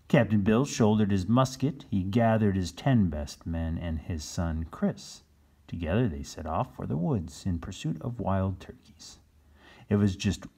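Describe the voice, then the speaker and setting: A man reading a children's storybook aloud in an animated narrating style. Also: low voice, breathy edge. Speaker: storybook reader.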